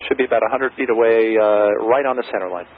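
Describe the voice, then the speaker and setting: Low-voiced tower controller on tinny radio. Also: male voice, fast. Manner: procedural phrasing mixed with casual, hesitant asides. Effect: aviation radio. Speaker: airport tower air traffic controller. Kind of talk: air traffic radio instructions. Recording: narrow, tinny aviation radio with faint static.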